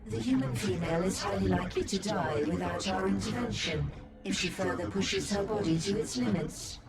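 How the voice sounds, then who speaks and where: An actor voicing a cold, courteous inhuman creature in a video game, speaking in a formal, measured, detached and ominous way. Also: mid-range voice, creaky, loud music behind the voice, close to an everyday voice. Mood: courteous menace. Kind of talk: video game cutscene acting.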